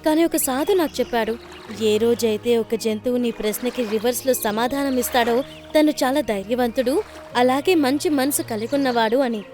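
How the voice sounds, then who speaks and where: A woman plays a kind young mermaid in a children's cartoon. The voice is high and earnest, as if explaining. Light music plays behind it. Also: moderate pace, a little mellow, close to an everyday voice. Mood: apologetic.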